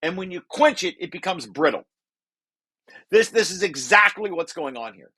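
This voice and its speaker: A man with a forceful, very bright and slightly high voice talks colleagues through a technical draft. He explains informally, circling back to restate key phrases for emphasis. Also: expressive, moderate pace, husky. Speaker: presenter walking through a research paper draft.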